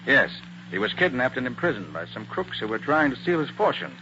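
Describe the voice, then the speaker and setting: Bright-voiced tough detective. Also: male voice, mid-range voice, sing-song. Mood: calm, assured. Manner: assured, brisk, commanding. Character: confident, tough male private detective. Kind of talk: 1940s radio acting. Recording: muffled, hissy old radio recording.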